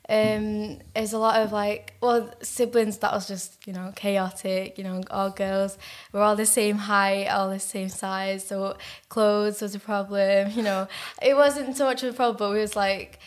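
A young woman from Manchester with a Manchester accent, telling her life story as a podcast guest, halting with many fillers and restarts. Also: mid-range voice, little pitch movement. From Manchester, England. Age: young adult. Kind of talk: casual personal storytelling.

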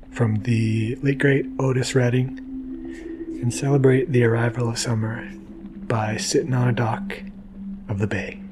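A man hosting a sleep podcast, speaking in a soothing, gentle, unhurried way. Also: medium pitch, background noise. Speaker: podcast host.

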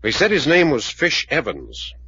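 An actor playing a steady, blunt investigator in 1940s radio drama, laconic and plain-spoken, with an American accent. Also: male voice, a little high, normal speed, husky, no room sound, exaggerated voice. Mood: cool, probing. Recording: dull old radio recording.

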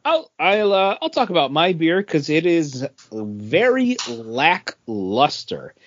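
A man's voice: a little high, big pitch swings, slow, slight background noise. A man speaking as a podcast co-host in casual, informal review-style chat. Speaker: podcast co-host, works at a beer store. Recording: dull, lo-fi podcast audio.

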